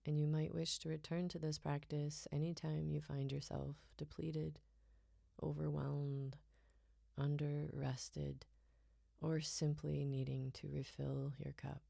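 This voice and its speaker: A woman leads a guided relaxation in a low, mellow voice with very heavy creak. She speaks softly and soothingly, letting phrase endings fade into breathy near-whispers. Her delivery is unhurried and gentle. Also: little pitch movement, dry recording, clean studio sound. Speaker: yoga nidra guide.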